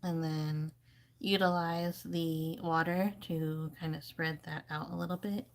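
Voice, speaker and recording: A woman with a low voice and plenty of vocal fry chats casually through a painting session. She thinks aloud in a loose, rambling way, explaining her choices as an art streamer would. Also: fairly even intonation, unhurried. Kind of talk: casual live painting commentary.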